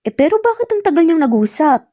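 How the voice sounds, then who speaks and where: A woman's voice: very high voice, big pitch swings, fast, warm tone, dry recording, studio quality, voice pushed up. A woman voicing a shy, jealous and mildly irritable young mother in an audio romance story, hesitant and pouting. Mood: low, doubtful.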